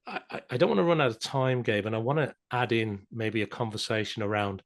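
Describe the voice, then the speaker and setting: A man with a fairly low voice hosts a podcast interview. He talks conversationally and builds up to his questions in a discursive way. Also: brisk.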